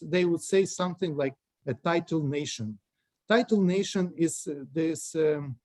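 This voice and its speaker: Ukrainian-accented man, halting, dark dry voice. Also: on the high side, no echo. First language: Russian. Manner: halting, many fillers and restarts, informal phrasing. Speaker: guest. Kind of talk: casual explanation over a video call. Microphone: video call.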